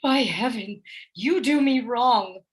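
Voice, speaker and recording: A loyal, innocent young wife from a Shakespearean radio drama, played by a woman, with a high voice. She speaks slowly in formal verse, earnest and sorrowful, wounded and pleading. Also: breathy edge. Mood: distressed, wounded. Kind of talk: Shakespearean radio play acting.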